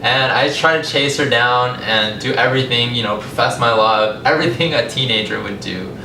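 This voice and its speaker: A young American man speaks to listeners as a storyteller, conversational and measured, pausing deliberately between phrases. His medium-pitched voice comes from some distance in a reverberant space, over loud rumbling noise. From California, United States. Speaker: language company founder giving a talk. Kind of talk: public talk, personal storytelling. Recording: echoey, distant mic, rumbling noisy room.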